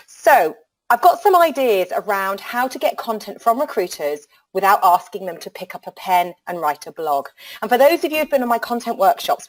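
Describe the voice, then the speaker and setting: A woman hosting a webinar, talking in a frank, lively and jokey way. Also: mid-range voice, low-quality recording. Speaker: webinar host. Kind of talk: webinar presentation.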